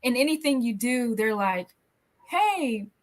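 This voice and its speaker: Higher-voiced woman hosting a chat. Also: lively intonation. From American South. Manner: friendly, affirming, playful when running a quick game. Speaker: podcast host. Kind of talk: casual interview chat.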